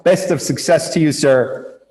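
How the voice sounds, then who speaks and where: A man's voice: a little high, a little slow, a little mellow. Upbeat man hosting with hall reverb. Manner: upbeat, emphatic introductions. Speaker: host. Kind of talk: live show hosting, introducing contestants.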